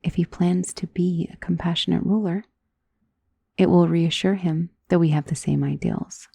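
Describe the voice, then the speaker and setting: Woman acting a husky, soft-spoken ancient female vampire. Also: on the low side, steady tone, no echo. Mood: calm, assured. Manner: soft, intimate, genteel, unhurried endearments, often dropping into whisper. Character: centuries-old female vampire, wealthy, doting, possessive, calmly commanding. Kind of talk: ASMR roleplay. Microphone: close microphone in a dry space.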